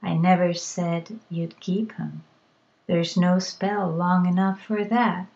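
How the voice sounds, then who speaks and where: A woman's voice: lowish voice, level tone, slow pace, gravelly, dull audio. A woman voicing a sly, unflustered old witch in a read-aloud story, speaking dryly and matter-of-factly. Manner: dry, matter-of-fact, quietly knowing.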